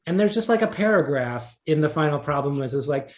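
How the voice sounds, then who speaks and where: Higher-voiced man in an echoey room. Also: animated, a little fast. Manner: conversational, thinking aloud, rephrasing as he goes. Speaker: interviewed writer. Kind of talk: casual podcast interview. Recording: roomy, echoey sound.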